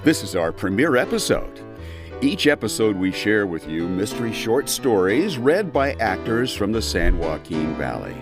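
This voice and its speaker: A man's voice: on the low side, sing-song, moderate pace. A man reading a scripted podcast introduction as the announcer. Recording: plucked guitar music behind. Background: acoustic guitar music.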